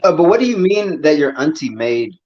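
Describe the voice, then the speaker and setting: A man's voice: on the high side, big pitch swings, fairly quick, darkish tone. A man hosting a casual conversation, asking follow-up questions. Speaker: podcast host. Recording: dull lo-fi sound.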